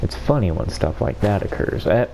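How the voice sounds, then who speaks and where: A man's voice: on the low side, expressive, moderate pace, creaky, dark tone. A man giving casual, off-the-cuff commentary while playing through a video game. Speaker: gaming YouTuber. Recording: faint background noise.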